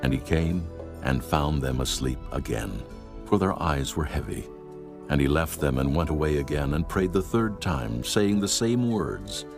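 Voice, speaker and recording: A man narrating scripture in a steady, measured storytelling manner, with music behind. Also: very deep voice, vocal fry.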